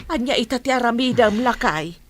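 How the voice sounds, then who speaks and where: A woman's voice: a little high, lively intonation, a little fast. A woman playing a concerned farmer's wife and mother in a radio drama.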